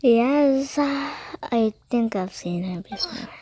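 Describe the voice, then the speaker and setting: A woman's voice: a little high, lively intonation, takes it slowly, noisy background. A woman giving casual, informal muttered reactions as she plays a video game, with game music behind her. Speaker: gaming video creator. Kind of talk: casual reactions over gameplay.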